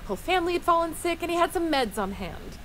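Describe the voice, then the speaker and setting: A woman's voice: extremely high pitch, big pitch swings, quick pace, a bit bright, exaggerated voice, low-quality recording. A woman playing a blunt, folksy townswoman in a video game. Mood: helpful. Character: startled, gossipy townswoman giving out leads. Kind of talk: video game character dialogue. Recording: clear background ambient noise.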